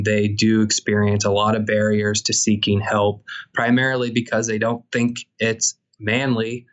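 A man, a psychology professor, explaining research findings in a measured podcast interview with frequent pauses. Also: on the low side, highs cut off.

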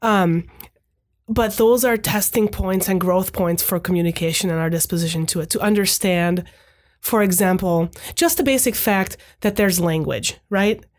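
A woman in her thirties whose first language is Hungarian, a podcast guest speaking in a measured, reflective way and often checking in with "right?". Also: on the low side, darkish tone. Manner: thoughtful, measured, frequent "right?" tags. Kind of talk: reflective conversational talk.

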